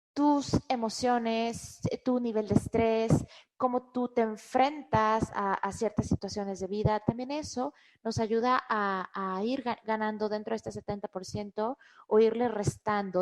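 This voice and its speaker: A woman's voice: fairly high pitch, darkish tone. Woman physician, webinar lecture over a computer mic, conversational with fillers. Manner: conversational, emphatic, with frequent fillers and restarts. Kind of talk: health lecture to an online audience.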